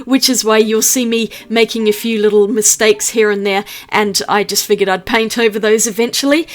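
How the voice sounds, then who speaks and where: A woman from New Zealand, an art vlogger narrating a voice-over with a chatty, upbeat manner, explaining each step. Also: on the high side.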